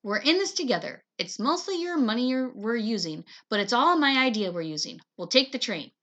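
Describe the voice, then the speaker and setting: A woman's voice: on the high side, sing-song, fairly quick, a bit bright, dull audio. Woman voicing a bossy, exasperated big-sister girl. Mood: firm. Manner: indignant, commanding, emphatic. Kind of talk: children's storybook dialogue.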